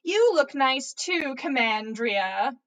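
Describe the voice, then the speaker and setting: A woman's voice: high voice, expressive, takes it slowly, fairly bright tone, heavily acted. A woman voicing a spoiled teenage wizard boy with a smug, haughty drawl in a story reading. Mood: teasing.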